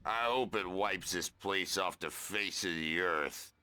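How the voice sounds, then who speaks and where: A man playing a surly, half-mad old ex-metal rocker in a video game, gruff and emphatic. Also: low-pitched, slow, exaggerated voice. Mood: bitter. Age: older. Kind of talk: video game cutscene acting. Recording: loud background ambience under game dialogue.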